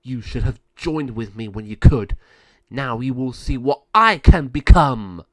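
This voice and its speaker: A man's voice: medium pitch, very animated, unhurried, no echo. A man voicing a scheming, sneering female sorceress villain in a storybook tale. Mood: gloating. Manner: sneering, gloating.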